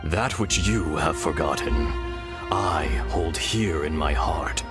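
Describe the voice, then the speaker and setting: A man voicing the calm, weary, benevolent spirit of an ancient dragon in a video game, speaking in a solemn, measured, poetic way. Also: extremely low pitch. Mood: solemn, firm. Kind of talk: dramatic video game voice acting. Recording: loud game music under the voice.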